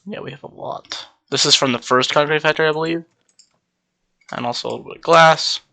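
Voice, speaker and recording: Bright-voiced young man, a bit congested. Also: a little high, a little fast, gravelly. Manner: rambling, relaxed, many ums. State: slightly congested. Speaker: Minecraft server player. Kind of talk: casual gameplay walkthrough.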